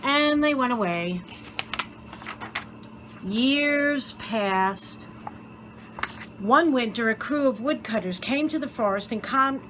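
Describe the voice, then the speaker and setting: A woman reading a children's story aloud in an expressive, theatrical way, with deliberate dramatic pauses. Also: a little high, background noise. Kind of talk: expressive scripted story narration.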